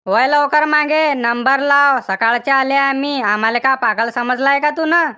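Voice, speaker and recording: A high-pitched, piercing village woman from a cartoon, played by a woman. She scolds and bosses in a rural Maharashtrian accent, fiery and quick to insult. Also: dull audio. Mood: bossy, irritated. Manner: sharp-tongued, bossy, scolding. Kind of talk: comic cartoon dialogue.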